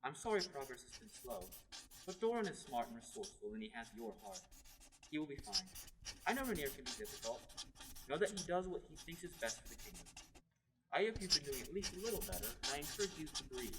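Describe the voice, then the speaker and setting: A young man playing a gentle, dutiful young royal in a radio play, earnest and measured as he reads letters. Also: on the high side, big pitch swings. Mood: reassuring. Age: teenager. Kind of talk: radio play acting, reading letters aloud. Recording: noisy, distant, scratchy handling sounds.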